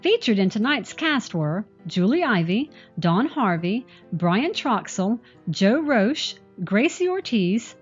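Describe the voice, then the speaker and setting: A woman announces scripted show credits. Her voice sits at an average pitch with lively, varied intonation, and music plays faintly behind her. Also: husky, dull audio.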